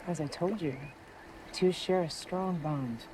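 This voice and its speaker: An actress playing a calm, wise warrior with a measured, gently formal delivery in a screen drama. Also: female voice, lowish voice, noisy background, lightly acted. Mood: calm, advising. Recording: film soundtrack with loud music behind.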